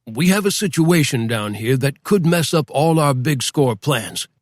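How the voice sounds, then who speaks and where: A man's voice: mid-range voice, expressive, unhurried, dry recording. Rattled small-time crook, rambling, dry close read; male narrator voices him. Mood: worried. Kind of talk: audiobook character voice.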